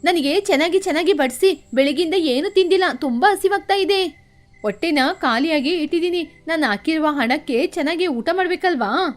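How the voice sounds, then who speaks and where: A woman plays a greedy cartoon crow mother with an extremely high voice. She is proud and stingy, exclaiming and grumbling about money over background music. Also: moderate pace. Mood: greedy hunger. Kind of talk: animated moral story dialogue for children.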